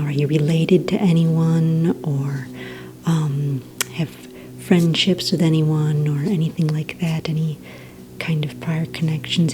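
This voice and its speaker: A woman playing a polite, organized receptionist at a supervillain recruitment center in a soft-spoken, breathy ASMR roleplay, with gentle, hesitant, courteous questioning. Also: deep voice. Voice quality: soft, breathy, near-whisper.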